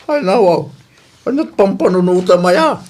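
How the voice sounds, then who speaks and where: Cautious, nagging elderly Filipino husband and father, acted by a man, highly varied intonation, radio drama. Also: animated, unhurried, darkish tone. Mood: concerned, reproving. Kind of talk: Ilocano radio drama acting.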